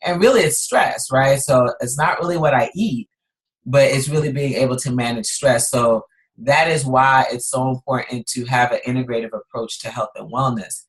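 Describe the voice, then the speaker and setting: A woman's voice: very deep voice, moderate pace, low-quality recording. Upbeat woman in her 40s, guyana-born brooklyn girl, chatty podcast guest. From Guyana, raised in Brooklyn. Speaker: guest chef and health coach. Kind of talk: casual podcast chat.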